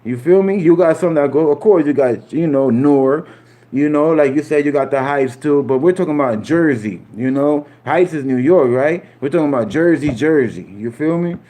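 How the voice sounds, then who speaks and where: A man's voice: a little high, moderate pace, warm tone. A man from Puerto Rico chatting loosely with his live audience in street slang, repeating himself and tossing in "you feel me" asides. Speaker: streamer talking to live chat. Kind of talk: casual rambling commentary.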